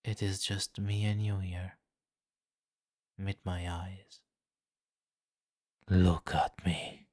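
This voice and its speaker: A man voicing a seductive, menacing vampire king in an intimate ASMR fantasy roleplay, slow and commanding, with a condescending, teasing amusement. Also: low voice, level tone, darkish tone, no room sound, clean studio sound. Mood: calm, reassuring authority. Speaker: roleplay voice performer.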